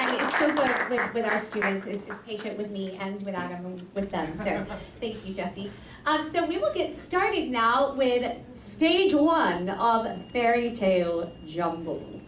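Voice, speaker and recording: A woman, a teacher introducing a student show, gives an informal welcome speech to an audience. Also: fairly high pitch. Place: small performance venue, recorded from afar. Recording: roomy, distant, faintly noisy audience recording.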